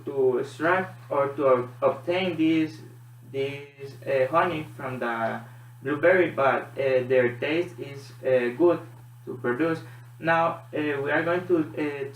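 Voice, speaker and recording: A man from Honduras with a Honduran Spanish accent presents as a student, hesitantly, pausing often in non-native English. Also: a little high. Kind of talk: classroom presentation. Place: echoey room. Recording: echoey room, distant mic, background noise.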